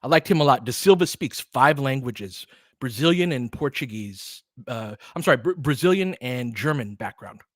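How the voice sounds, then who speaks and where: A man's voice: mid-range voice, lively intonation, normal speed, dry recording. Casual American man, close dry sound. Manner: informal, slangy. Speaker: basketball play-by-play livestream host. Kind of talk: casual fan chat and play-by-play commentary.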